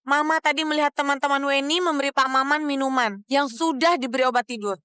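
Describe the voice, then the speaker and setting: A woman's voice: high voice, quick pace, sharp tone, no echo, studio quality. An actress playing a firm, fair-minded mother with an Indonesian accent in a television drama.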